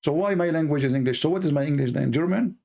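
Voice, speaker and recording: A man's voice: a little high, fast, vocal fry, warm tone. Mocking man with an Arabic accent. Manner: mocking, combative, broken English. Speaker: religious debate host. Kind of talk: heated polemical monologue.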